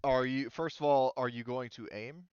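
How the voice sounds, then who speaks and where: A man's voice: medium pitch, fairly even intonation, normal speed, darkish tone, clean tone, dry recording, highs cut off. A man running a tabletop roleplaying game as game master, casually explaining rules and thinking aloud as he looks things up.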